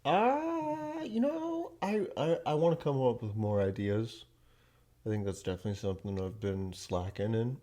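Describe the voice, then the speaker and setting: Young man, podcast co-host, very animated pitch, crude playful chat. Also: moderate pace. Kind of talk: casual banter.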